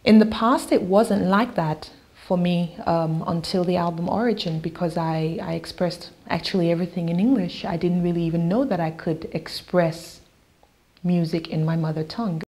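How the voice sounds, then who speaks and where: A woman whose first language is Yoruba, a singer-songwriter talking thoughtfully in an interview, pausing with fillers and restarts. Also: fairly low pitch, darkish tone. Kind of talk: interview talk.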